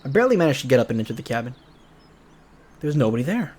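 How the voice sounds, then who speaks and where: A man's voice: mid-range voice, big pitch swings, very fast, a little mellow, slightly rough. A man narrating a visual novel aloud as a let's player, casual and slangy, with joking asides. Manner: jokey, slangy. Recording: music behind the voice.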